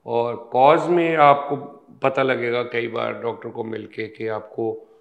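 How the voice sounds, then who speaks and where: A man explains a medical topic in a calm, unhurried interview. He talks very slowly, setting out each point patiently with small pauses. The overall effect is of a doctor walking a lay audience through the facts. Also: mid-range voice, gravelly, dull audio. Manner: slow, measured, explaining step by step with pauses. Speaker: ENT surgeon guest.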